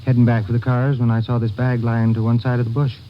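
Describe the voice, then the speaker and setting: An anxious, earnest American neighbor played by a man talks quickly in a folksy way. It is old radio drama acting, on a noisy vintage recording. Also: mid-range voice, level tone, highs cut off, close to an everyday voice. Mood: urgent.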